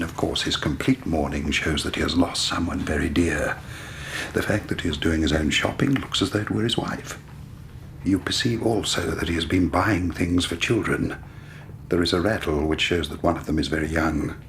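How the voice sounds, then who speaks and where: An actor playing a genial, indolent and superior British gentleman sleuth in a period radio drama, urbane and self-assured. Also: rumbling low, fairly even intonation, moderate pace, slight background noise. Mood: leisurely, assured.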